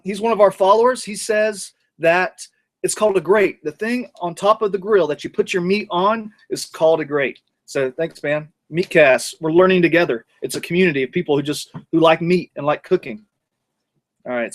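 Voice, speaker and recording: A man hosts his grilling show outdoors, rambling and cracking jokes between tasks. His voice sits a little high. He chats to the camera while working over the grill. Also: moderate pace. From North Georgia, USA. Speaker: cooking show host. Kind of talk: casual cooking vlog.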